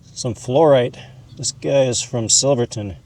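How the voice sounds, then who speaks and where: A man's voice: medium pitch, unhurried, dark tone. Man giving a folksy, informal explainer. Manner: folksy, calls objects "this guy". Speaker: host, mineral hobbyist educator. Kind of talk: informal explainer, showing specimens.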